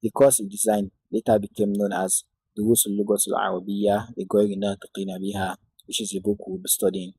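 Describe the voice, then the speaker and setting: Low gravelly man teaching a lesson. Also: little pitch movement, warm tone, no echo, rough recording. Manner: measured, devout delivery with Islamic invocations. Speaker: Arabic teacher. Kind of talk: scripted lesson narration.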